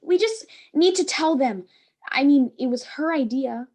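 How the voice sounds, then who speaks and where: A woman's voice: high-pitched, expressive, unhurried, darkish tone. A woman playing an anxious, dramatic schoolgirl in a staged play reading, with a fretful manner. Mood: indignant, fretful.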